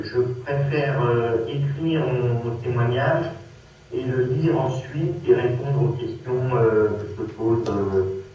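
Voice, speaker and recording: A man with a Parisian French accent introduces himself to a group in a hesitant, halting and apologetic way. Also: mid-range voice, mellow. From Paris, France. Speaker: workshop speaker, peer research assistant. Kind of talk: personal testimony to a group. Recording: echoey, distant conference-call sound with noise.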